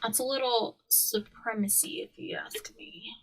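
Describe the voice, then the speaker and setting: A woman's voice: on the high side, measured pace, a little hiss or hum, rough recording. A young woman co-hosting a podcast, chatting casually and laughing often as she tells stories. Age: young adult. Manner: laughs often, animated storytelling. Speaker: podcast co-host. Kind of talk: casual chat.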